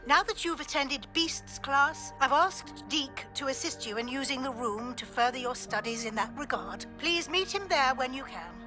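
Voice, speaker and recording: Wizard school teacher, video game, courteous, measured, high pitch, background music. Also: female voice, expressive. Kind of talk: video game character acting.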